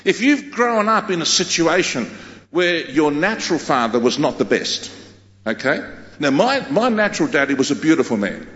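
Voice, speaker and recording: Animated preacher in an echoey hall. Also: male voice, a little high, normal speed. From Australia. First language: Greek. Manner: emphatic preaching with repeated phrases. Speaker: pastor. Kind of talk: sermon. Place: large church hall. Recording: echoey hall, dull sound.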